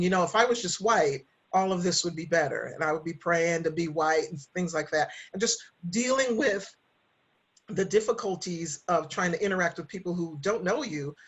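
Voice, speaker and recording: A woman's voice: lowish voice, a bit bright. A middle-aged woman chatting casually and candidly, telling personal stories in a reflective way. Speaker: podcast host and writer. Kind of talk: casual conversational storytelling.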